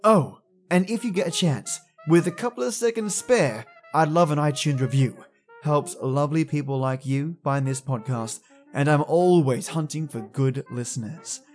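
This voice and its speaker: Male podcast host, friendly scripted sign-off, slightly higher voice, music underneath. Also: normal speed, a little mellow. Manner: chatty, friendly address to listeners.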